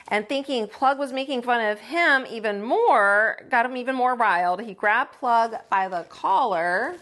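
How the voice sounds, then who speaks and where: A woman reading a children's story aloud as a teacher, with folksy, expressive delivery and dramatic emphasis. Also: a little high. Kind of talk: animated read-aloud storytelling.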